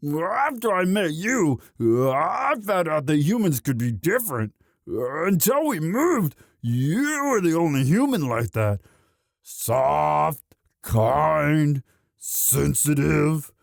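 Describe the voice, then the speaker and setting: A man playing a young orc, a gentle himbo sweetheart who talks in a halting, stammering, bashful and earnest way in a romantic roleplay audio. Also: fairly high pitch, very animated, gravelly, no echo, studio quality, full character voice. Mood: tender, earnest.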